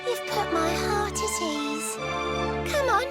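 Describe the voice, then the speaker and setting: A woman's voice: very high voice, lively intonation, slow pace, exaggerated voice. An actress voicing a lovelorn, trusting mermaid maiden in a video game, speaking girlishly in sing-song rhyming verse. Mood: fond, reassured. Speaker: video game character actor. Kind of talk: rhyming theatrical cutscene dialogue. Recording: loud music over the voice. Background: loud game soundtrack music.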